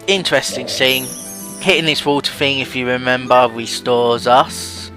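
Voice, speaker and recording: A man's voice: mid-range voice, moderate pace, bright, forceful tone. A young man giving casual, rambling and hesitant let's play commentary over game music. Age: young adult.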